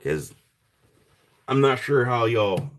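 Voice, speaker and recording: A man with a raspy voice, chatting casually as he sells rocks on a live stream and thanks buyers by name. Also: mid-range voice, animated, slow pace, a bit bright. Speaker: live stream rock seller. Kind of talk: casual live sale chat.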